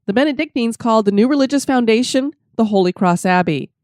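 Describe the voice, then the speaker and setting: A woman's voice: fairly high pitch, animated, quick pace, a little mellow, no room sound, clean studio sound. Stuffy-voiced woman hosting a podcast. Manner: upbeat, reads from a script. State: stuffy with a summer cold. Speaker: podcast host. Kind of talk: scripted podcast narration.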